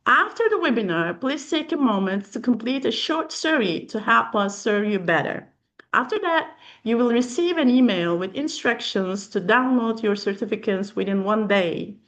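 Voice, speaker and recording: Lively higher-pitched woman moderating. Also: normal speed. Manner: lively, formal welcoming announcements. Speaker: webinar moderator. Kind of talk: scripted introduction.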